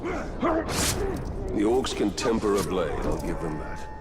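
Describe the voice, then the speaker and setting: Low-voiced, curious fantasy soldier. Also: male voice, wildly swooping pitch, takes it slowly, very noisy, heavily acted. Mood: curious, wry. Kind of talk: scripted fantasy game dialogue.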